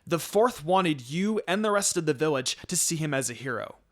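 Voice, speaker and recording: A man's voice: on the high side, expressive, normal speed, lightly acted. Man reading as a protective young ninja woman. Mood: fierce, angry. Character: protective young female ninja, polite but fierce.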